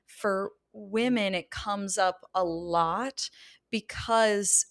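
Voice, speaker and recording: A woman with a deep voice hosting a podcast, speaking in a measured, thoughtful and frank way. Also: gravelly. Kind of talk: candid podcast conversation.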